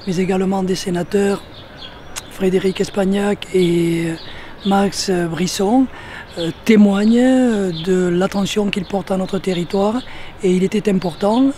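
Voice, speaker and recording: A man giving formal, measured interview remarks as a local elected official. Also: sits high, steady tone, mellow, a little hiss or hum.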